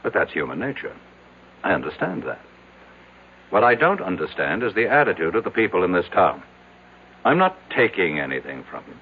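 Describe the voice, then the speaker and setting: A man's voice: deep voice, sing-song, fairly quick, long pauses, narrow, tinny audio. An actor playing a skeptical, arrogant businessman in an old-time radio drama, brusque and insistent in formal diction. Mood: self-important, boastful. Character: skeptical, arrogant rich businessman, demanding rational answers. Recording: noisy, band-limited vintage radio drama recording.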